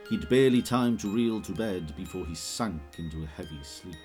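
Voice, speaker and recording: A man narrating an audio drama in measured, vivid storytelling. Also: low voice, lively intonation, over music.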